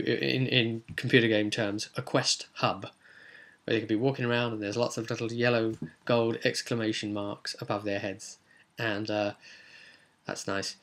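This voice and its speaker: A man recounts his tabletop roleplay session as game master, talking loosely and circling back with ums and restarts. His voice is bright and forceful, and his pitch sits in a middle range for a man. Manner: rambling, frequent ums and restarts.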